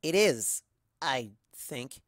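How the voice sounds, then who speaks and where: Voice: medium pitch, expressive, extremely slow, dry recording, studio quality. A performer voicing an earnest, polite, somewhat puzzled young unicorn mare in a storybook reading, hesitant and courteous.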